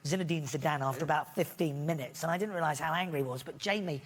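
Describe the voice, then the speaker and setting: A man's voice: fairly high pitch, fast talker. A man, a guest on a chat show, telling chatty self-deprecating anecdotes. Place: TV studio. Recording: long studio reverb, faint noise.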